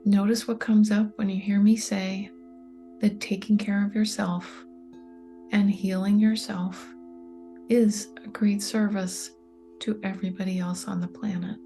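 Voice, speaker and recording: Calm woman meditation guide, breathy near-whisper, steady unvaried tone. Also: mid-range voice. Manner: soft, slow and soothing, often near a whisper. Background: soft meditation music with singing bowl tones.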